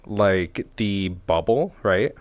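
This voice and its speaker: Slow-paced man, lively reaction commentary. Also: deep voice, no echo. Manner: musing aloud, conversational. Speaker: reaction video host. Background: faint music from the trailer.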